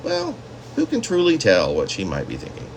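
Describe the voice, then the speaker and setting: A man reading his own literary story as a poet, with theatrical, drawn-out phrasing and dramatic emphasis. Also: mid-range voice, wildly swooping pitch, creaky, a little hiss or hum.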